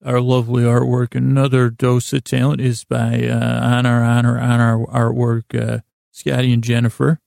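Super creaky soft mellow guy doing a rambly sleep podcast, halting and wandering, dry close sound. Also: medium pitch, monotone, dry recording. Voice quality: gravelly, creaky. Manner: meandering, halting, repeating himself, soothing intent. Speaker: podcast host.

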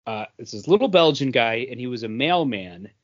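Halting man in casual conversation. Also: mid-range voice, expressive, highs cut off. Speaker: podcast co-host. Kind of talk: casual chat, telling anecdotes.

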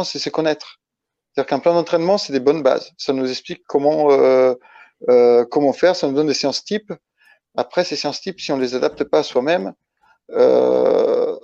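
A man from Italy speaking as a guest in a casual interview, halting and restarting his phrases as he explains. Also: medium pitch, gravelly, warm tone. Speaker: interview guest, ultra-trail runner. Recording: dull lo-fi, roomy echo.

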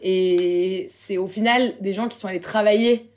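A woman's voice: medium pitch, steady tone, normal speed, a little mellow. A young woman from Paris, a guest in a casual round-table chat, talking loosely with slang and many hesitations. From Paris, France. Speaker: podcast guest.

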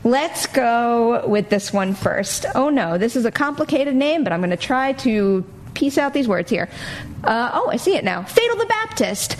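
High-voiced, enthusiastic woman hosting. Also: slight background noise. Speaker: host of a movie news talk show. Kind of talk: lively panel chat and hosting.

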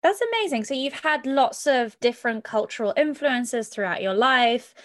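A woman's voice: fairly high pitch, animated, moderate pace. A woman hosting a podcast, upbeat and cheeky as she welcomes and questions her guest. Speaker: podcast host.

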